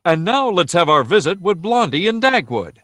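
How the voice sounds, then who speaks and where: An actor playing a persuasive 1930s radio announcer, delivering scripted sales copy and scene-setting narration. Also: male voice, sits high, sing-song, moderate pace, loud music behind the voice. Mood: inviting.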